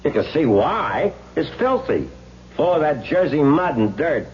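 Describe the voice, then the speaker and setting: Cheeky, animated garage attendant. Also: male voice, on the high side, unhurried. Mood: matter-of-fact. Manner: folksy, wisecracking, ungrammatical. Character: cheeky, talkative working-class male garage attendant. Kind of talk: 1970s radio drama acting. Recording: dull vintage radio recording, faint hum.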